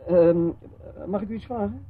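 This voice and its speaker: High-voiced, wheedling petty crook. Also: male voice, normal speed, breathy edge. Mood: pleading, evasive. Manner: wheedling, evasive, wisecracking, stammering self-justification. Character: shifty male petty crook, wheedling and cheeky, protesting innocence. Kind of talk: radio drama acting. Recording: muffled phone-like old radio play, noisy.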